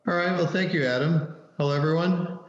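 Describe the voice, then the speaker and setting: A man's voice: on the high side, brisk. A middle-aged man speaking informally as an expert panelist, heard over a roomy, dull remote call. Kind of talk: informal self-introduction on a panel.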